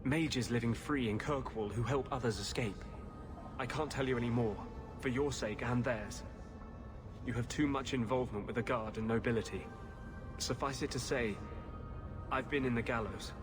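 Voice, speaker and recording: A man's voice: mid-range voice, normal speed, over music, natural voice. Man acting an intense, bitter rebel mage. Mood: grim, bitter. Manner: grim, earnest, insistent. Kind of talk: fantasy video game acting. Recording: clearly noisy game audio.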